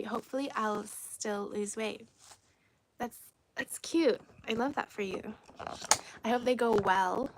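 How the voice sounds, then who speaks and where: A woman from China whose first language is Cantonese, chatting casually on a livestream into a phone, reading viewer comments aloud and often dropping to soft half-whispered asides. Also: on the high side, slight background noise, poor audio. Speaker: livestream host.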